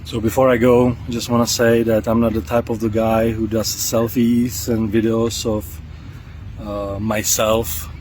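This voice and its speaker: A man with a heavy Czech accent filming himself outdoors on a camera mic, talking through a product test in a casual vlog, with a halting delivery full of fillers and restarts. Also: on the low side, level tone, a little mellow. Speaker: product tester. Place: outdoors on trails and beach. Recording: outdoor noise.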